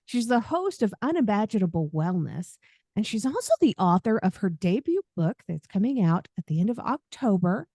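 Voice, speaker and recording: A woman's voice: mid-range voice, big pitch swings, normal speed, mellow, dry recording. A woman hosts a podcast in a chatty, easygoing way and laughs freely. The sound is close and dry, with no room around her. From Southern United States. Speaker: podcast host. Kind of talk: podcast interview, friendly chat.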